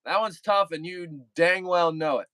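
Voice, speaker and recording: Young man, livestream chat, rambling, repeats phrases, slightly high voice, dry sound. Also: normal speed, bright, forceful tone, smooth, no room sound. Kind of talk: casual livestream chat with viewers.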